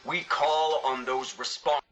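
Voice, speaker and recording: A man's voice: on the high side, fairly even intonation, slow pace, fairly bright tone, dull audio, faint music behind. A male actor playing an earnest, stern spokesman in a video game, delivering a formal public statement. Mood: grave, reproachful.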